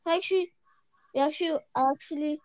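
A woman's voice: high voice, normal speed, darkish tone, no room sound. A young woman from Bulgaria chatting casually over her phone microphone, hesitant and repeating her words. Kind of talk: casual chat.

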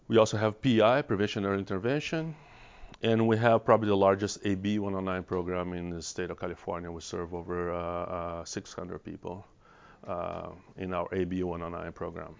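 A man's voice: deep voice, vocal fry. A middle-aged man with a Brazilian accent, giving a presentation to an audience and often restarting and repeating words. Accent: Brazilian Portuguese. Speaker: regional director of mental health programs. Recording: dull lo-fi sound.

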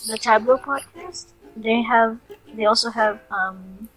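A young woman co-hosting a podcast in casual chat. She rambles and restarts her sentences, with laughs between thoughts, on a rough home recording. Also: on the high side, unhurried, a bit bright, faint music behind, low-quality recording. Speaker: podcast co-host.

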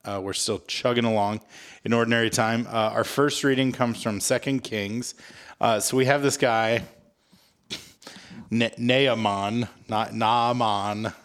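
A man's voice: medium pitch, fairly even intonation, measured pace, fairly bright tone. A man co-hosting a podcast in a casual, jokey, self-mocking and informal way. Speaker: podcast co-host. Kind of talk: casual scripture recap chat.

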